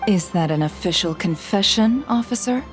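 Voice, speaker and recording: An actress playing a cool, shrewd, self-assured guild boss in a video game, concise and businesslike. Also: female voice, mid-range voice, lively intonation, moderate pace, soft background music, close to an everyday voice. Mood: shrewd, poised. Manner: poised, concise, dryly businesslike. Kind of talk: video game character acting.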